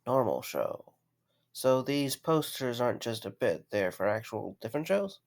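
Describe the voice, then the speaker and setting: Man as a wry visitor with creaky voice. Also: mid-range voice, big pitch swings, normal speed. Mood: polite, curious. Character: wry, practical man visiting to inspect animatronics.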